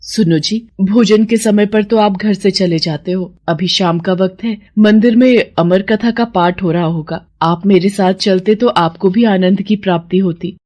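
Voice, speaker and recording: A woman's voice: medium pitch, unhurried, hardly any pauses, darkish tone, close to an everyday voice. A woman voicing a humble, devout young village wife in a moral-story cartoon, speaking earnestly and respectfully. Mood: earnest, persuading.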